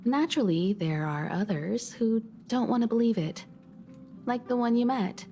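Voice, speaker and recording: A woman voicing a calm, wise, thoughtful mentor in a video game, speaking in a measured, philosophical way. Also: fairly high pitch. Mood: matter-of-fact. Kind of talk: video game character dialogue. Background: faint game music.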